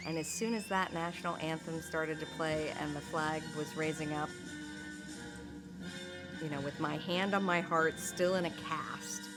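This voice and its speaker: A woman's voice: deep voice, fairly even intonation, unhurried, music in the background, low-quality recording. A woman, a Paralympic skier, tells her own story in a documentary interview, speaking candidly and often breaking into laughter mid-sentence.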